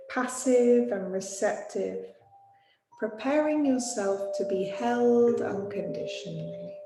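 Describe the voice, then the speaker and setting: A woman teaching yoga nidra, guiding a sleep meditation in a gentle, soothing, unhurried manner with long pauses. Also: fairly high pitch. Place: small studio. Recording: roomy echo, music behind voice.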